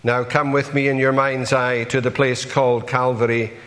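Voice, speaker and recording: A man's voice: medium pitch, level tone, normal speed, fairly bright tone, long reverb tail. A man preaching a sermon, earnestly putting rhetorical questions to his congregation.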